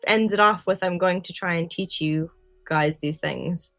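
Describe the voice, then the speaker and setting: Low-pitched woman chatting on a podcast. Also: unhurried, fairly bright tone, dry recording, clean studio sound, soft background music. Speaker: podcast co-host. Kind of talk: casual podcast discussion of an old radio drama.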